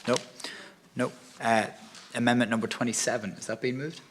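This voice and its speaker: A man chairing a parliamentary session, calling amendments and votes in a brisk, halting way that keeps correcting itself. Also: mid-range voice, steady tone, a little hiss or hum. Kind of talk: formal procedural chairing. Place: parliamentary chamber.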